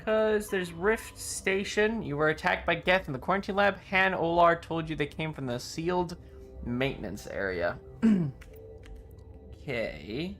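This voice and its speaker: A man's voice: fairly high pitch, animated, a little slow, fairly bright tone, faint music behind. A man giving casual live game commentary and chatting with viewers in a playful, dramatic, sweary way. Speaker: livestreamer. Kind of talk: casual game stream commentary, reacting to chat.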